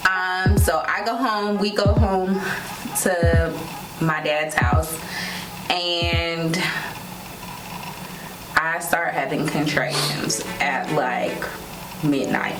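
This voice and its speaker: An American woman casually telling a personal story as co-host, with an animated, sassy way of talking. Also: fairly low pitch, extremely slow, pauses often. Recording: roomy echo under loud hip hop music.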